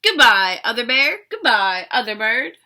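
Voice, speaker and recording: A thoughtful, amiable picture-book bear as a woman reads it aloud. The voice is high and bright, with simple, animated phrasing. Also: measured pace, exaggerated voice. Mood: content.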